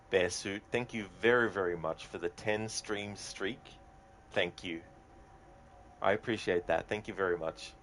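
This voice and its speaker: A man chatting casually and haltingly as he live-streams a video game, musing aloud to viewers. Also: low voice, fairly bright tone, a little hiss or hum. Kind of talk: casual chat while gaming.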